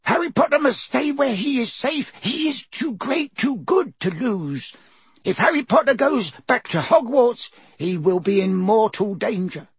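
A man voicing a small, servile male house elf who talks in a squeaky, anxious way. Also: very animated, measured pace, slightly rough, no room sound, heavily acted. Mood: frantic. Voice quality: squeaky, high-pitched. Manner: servile, calls himself by name, ends lines with "sir". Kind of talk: audiobook character voice.

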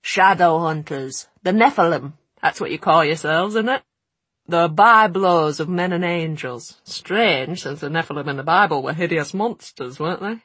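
An impish gentleman talks with loud, mocking cheer, voiced by a woman reading aloud. The delivery is smug and bright, and the recording is dry. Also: low-pitched, moderate pace, gravelly, breathy edge, no echo, heavily acted.

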